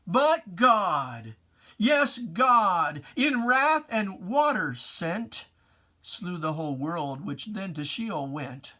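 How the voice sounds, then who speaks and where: Man declaiming verse slowly, high-pitched. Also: very animated, sharp tone. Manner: declamatory, emphatic, theatrical delivery. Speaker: poetry reader. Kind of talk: dramatic reading of religious verse.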